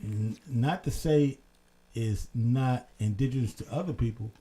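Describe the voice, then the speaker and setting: A man co-hosting a casual late-night talk show, telling stories in an animated way and swearing freely. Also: medium pitch, unhurried. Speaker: co-host.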